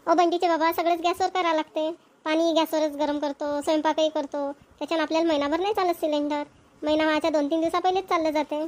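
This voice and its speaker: A woman's voice: sits high, flat delivery, quick pace, close to an everyday voice. A woman playing a practical, nagging village wife and mother in a cartoon comedy, with a Vidarbha Marathi accent. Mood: explaining.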